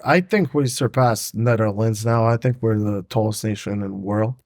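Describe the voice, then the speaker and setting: A man's voice: fairly low pitch, normal speed, a little mellow, dry recording, studio quality. A young man from Montenegro, a native Montenegrin speaker, hosting a casual podcast and rambling with lots of fillers and casual swearing. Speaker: podcast host. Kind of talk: casual conversation.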